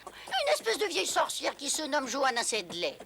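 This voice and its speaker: An actress playing an indignant, gossiping medieval village woman in an animated film dub, exclaiming and complaining. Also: high voice, moderate pace, vocal fry, very noisy, heavily acted. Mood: gossipy.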